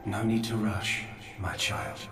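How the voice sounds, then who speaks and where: A fading old god from a video game, solemn and resigned. The low, slow voice echoes from a distance over music. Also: male voice. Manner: solemn, detached, unhurried. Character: weary, fading old godlike creator father, resigned. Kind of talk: dramatic video game voice acting.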